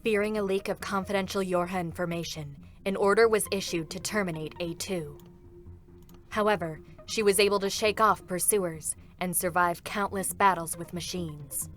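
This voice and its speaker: A woman's voice: fairly low pitch, steady tone, moderate pace, fairly bright tone, faint music behind. A woman giving composed scripted narration at a live reading.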